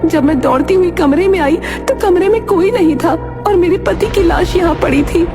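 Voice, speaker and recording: A woman playing a distraught widowed mother in an animated horror story, anguished and earnest. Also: extremely high pitch, moderate pace. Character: distraught widowed mother of a village landlord family. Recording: music behind the voice.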